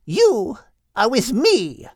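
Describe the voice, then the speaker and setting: A man's voice: very high voice, wildly swooping pitch, very slow, no echo, pitched above the performer's own voice, full character voice. A brusque French goose, played by a male reader, female, abrasive and born to give orders. Her audiobook voice swings widely and barks commands, with a dry close sound. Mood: bossy, scornful. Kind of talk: audiobook character acting.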